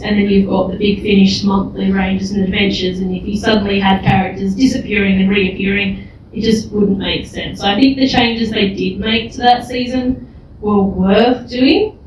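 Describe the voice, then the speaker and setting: A woman giving a measured, articulate opinion at a live panel discussion. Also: medium pitch. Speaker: panel speaker. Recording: very echoey room, distant, clear background noise.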